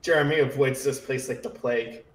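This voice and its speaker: A man's voice: medium pitch, steady tone, a little fast. A man playing in a tabletop roleplaying game, casually saying what his character does and says. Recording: roomy, distant mic, faint music.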